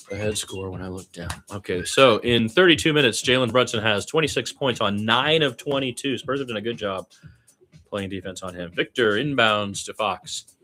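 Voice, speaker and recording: A man calling basketball as a partisan radio play-by-play announcer, blunt and opinionated, animated in his delivery and repeating phrases for emphasis. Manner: animated, swinging from low asides to loud high calls.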